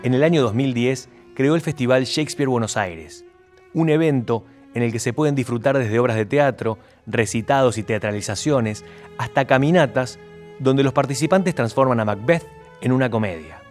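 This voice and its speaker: Male narrator over soft music. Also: medium pitch, normal speed.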